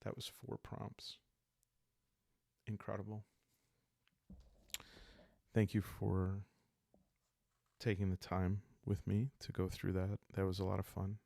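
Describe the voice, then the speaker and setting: Soft spoken guy doing sleepy asmr roleplay narration, real slow n deep, halting, close dry sound. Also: completely flat delivery, vocal fry, no room sound. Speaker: solo roleplay game player and narrator. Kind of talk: calm improvised solo roleplay narration, sleep-aid ASMR.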